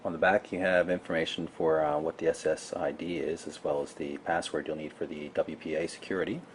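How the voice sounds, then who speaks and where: A man with a low voice presents a gadget in a casual, explanatory way. He is matter-of-fact, like a reviewer walking through a product. There is clear noise in the background of the recording. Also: level tone, a little fast.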